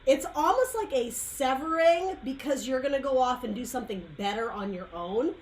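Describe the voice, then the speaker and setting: Tarot reader, woman, higher voice, dry worn throat, loose rambling delivery. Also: lively intonation, moderate pace. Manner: rambling, searching for words, frequent "like" and "um". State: dry, losing her voice. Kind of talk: casual tarot reading monologue.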